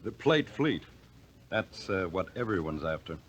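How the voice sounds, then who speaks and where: A man's voice: deep voice, big pitch swings, measured pace, slight background noise. An American man playing a friendly but pushy diver in a 1950s radio drama, talking in an ingratiating, persistent way. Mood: probing. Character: friendly, pushy male diver angling to join in. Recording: vintage 1950 radio broadcast.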